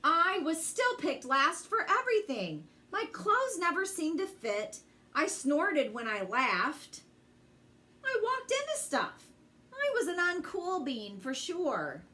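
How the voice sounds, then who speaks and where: A woman reading a children's story aloud with theatrical, deliberate phrasing and drawn-out emphasis. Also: very high voice, huge pitch swings, pushed and bright. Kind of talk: expressive bedtime story reading.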